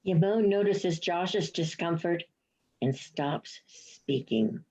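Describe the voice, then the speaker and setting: A woman's voice: fairly low pitch, slow, warm tone. A woman reading stage directions as scripted narration, on a dull lo-fi recording. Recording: dull, muffled lo-fi sound.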